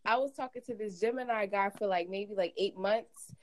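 Young woman, medium pitch, slangy, emphatic, casual storytelling. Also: normal speed. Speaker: podcast panelist.

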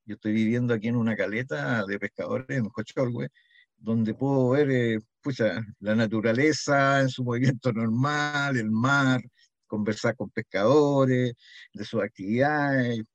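A man's voice: medium pitch, a little slow, no room sound, highs cut off. An older man with a Chilean accent, reminiscing conversationally as an interview guest and explaining things through examples, often checking that he is followed. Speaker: interview guest, local heritage association member.

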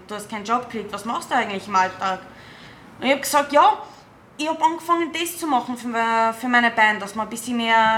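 Bright-voiced young woman. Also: a little high, slight background noise. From South Africa, raised in England. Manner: lively, quick, slightly non-native German. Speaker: band booker and musician. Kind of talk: casual interview chat.

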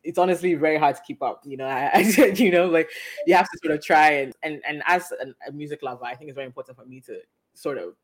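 A woman's voice: low-pitched, fast talker. A woman from Africa hosting a music podcast, talking in a conversational interview style. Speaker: podcast host.

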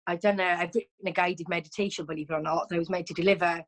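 Woman guest on a video call, lowish voice, rambling and chatty, lots of ums. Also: fairly even intonation, racing. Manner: chatty, frequent ums and restarts. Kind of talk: casual chat over a video call.

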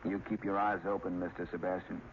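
An actor playing a weary, plain-spoken small-town sheriff in an old radio drama, with a folksy, hesitant manner. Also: male voice, low voice, little pitch movement, quick pace. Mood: grave. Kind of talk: 1940s radio drama acting. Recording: dull, hissy old radio recording.

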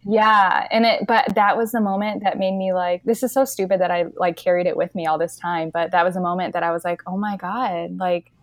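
A woman with a slightly nasal voice, co-hosting a podcast and telling stories in animated, emphatic casual chat. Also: on the low side, fairly quick, few pauses, dry recording. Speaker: podcast co-host.

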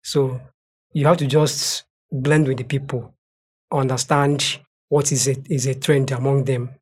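Man, Nigerian accent, native Igbo and Pidgin speaker, medium pitch, emphatic, interview guest. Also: level tone, unhurried, darkish tone, slightly rough. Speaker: translator, podcast guest. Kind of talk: interview answers.

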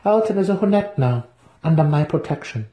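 A man voicing a scheming, purring female dark elf matron in a fantasy audiobook. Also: on the high side, lively intonation, a little fast, pitched above the performer's own voice, lightly acted. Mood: purring, sly. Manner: purring, assertive. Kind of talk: dramatic fantasy audiobook reading.

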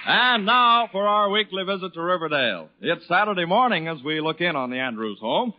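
A man's voice: sits high, big pitch swings, brisk. An American man working as a radio announcer, speaking in a showy, declamatory 1940s style. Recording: dull 1940s radio broadcast recording. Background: music.

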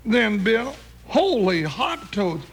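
A man playing a comic, excitable cowboy sidekick with folksy slang in an old-time radio Western. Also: high-pitched, takes it slowly, husky, exaggerated voice. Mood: folksy, wry. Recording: noisy old radio recording.

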